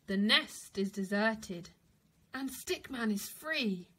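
A woman reads a children's picture book aloud as a teacher would, with dramatic, deliberate phrasing. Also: mid-range voice, expressive, a bit bright, husky, rough recording. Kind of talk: expressive children's storybook narration.

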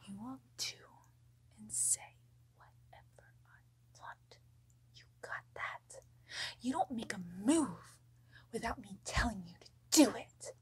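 A cruel, controlling young girlfriend, played by a young woman, hisses commands in a very breathy, airy whisper. She drawls slowly and menacingly at a slightly raised pitch, right up against the mic in a small bedroom. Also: animated, full character voice. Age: young adult. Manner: slow, menacing, commanding whispers close to the camera. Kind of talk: ASMR roleplay. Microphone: close camera mic.

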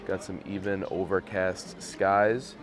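A man's voice: low-pitched, little pitch movement, slow pace. A young man chatting casually into a handheld camera on a trip, upbeat and joking though tired. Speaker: vlogger. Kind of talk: handheld travel vlog chat. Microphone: camera mic at arm's length.

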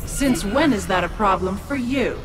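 A woman's voice: mid-range voice, animated, normal speed, over music, lightly acted. A woman playing a cruel, gloating evil witch demon in a video game, menacing and haughty. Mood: scornful. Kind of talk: video game character acting.

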